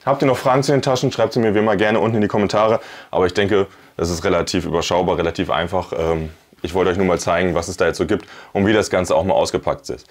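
Male vlog host, thirties, lowish voice, chatty and casual hands-on product demo to camera. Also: fairly even intonation, fast. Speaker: YouTube host, product reviewer. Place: small indoor room with plain wall. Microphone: clip-on lavalier on shirt.

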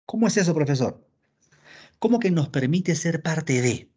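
A man teaching a philosophy class over a video call, lively and acting out his students' questions. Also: on the high side, normal speed, husky. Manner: animated, acts out students' questions. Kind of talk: online class lecture. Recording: dull lo-fi call audio.

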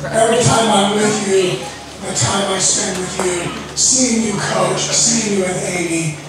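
A middle-aged man giving a heartfelt, halting wedding speech as father of the groom. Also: high-pitched, fairly even intonation. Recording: echoey, distant, very noisy PA. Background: loud noise.

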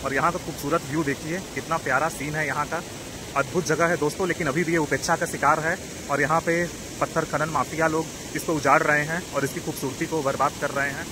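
A man's voice: on the high side, fairly even intonation. A man narrating a walking travel vlog outdoors, addressing viewers as friends in an earnest, concerned way. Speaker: travel vlogger. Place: outdoors at a waterfall and river. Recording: outdoor phone audio with loud waterfall noise. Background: rushing water.

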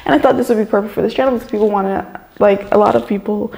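Young woman, story-time vlogger; rambling, filler-heavy, gravelly fry throughout, sounding a bit under the weather. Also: medium pitch, steady tone, rapid-fire, darkish tone, poor audio. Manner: rambling, chatty, many fillers and restarts. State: slightly off voice after a vaccine shot. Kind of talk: casual story time vlog. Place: bedroom gaming setup, indoors.

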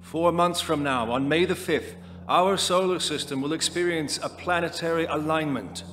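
An actor playing a grave, oratorical doomsday cult leader in an audio drama monologue. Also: male voice, fairly high pitch, moderate pace, fairly bright tone, close to an everyday voice. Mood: prophetic, urging. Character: solemn doomsday cult leader preaching end times.

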